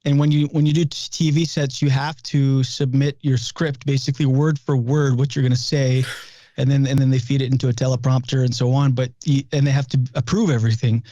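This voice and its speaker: Mellow-voiced comedian from New Brunswick. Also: male voice, mid-range voice, flat delivery, brisk, no echo, lo-fi sound. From New Brunswick, Canada. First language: French. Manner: loose storytelling, swears freely, many restarts. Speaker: guest comedian. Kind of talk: casual interview chat.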